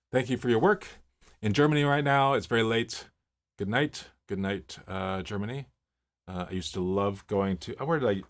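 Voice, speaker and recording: Low-voiced man chatting casually on a livestream. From Miami, Florida. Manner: rambling, anecdotal, many fillers and restarts. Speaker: livestream host. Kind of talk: casual livestream chat, reading viewer comments. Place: home office.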